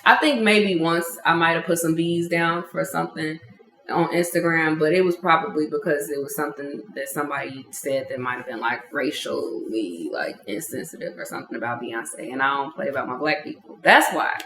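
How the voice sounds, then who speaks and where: A woman from the Chicago suburbs, a podcast guest in casual chat, animated and bubbly and quick to laugh. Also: deep voice, echoey room, a little hiss or hum, rough recording. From Chicago South Suburbs.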